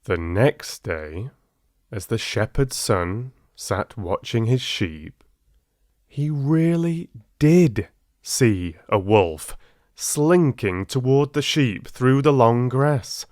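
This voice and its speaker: A man narrates a children's storybook with dramatic, deliberate phrasing. His pace is very slow and his pitch swings widely for expression. The sound is dry and close. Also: lively intonation, darkish tone, no room sound. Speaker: audiobook storyteller.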